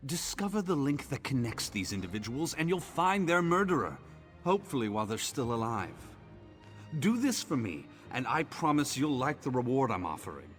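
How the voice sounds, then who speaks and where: An actor playing a smarmy, flirtatious male merchant in a video game, with a husky, oily delivery. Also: mid-range voice, very animated, moderate pace, big-room sound, soft background music, natural voice. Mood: scheming. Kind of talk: video game character dialogue.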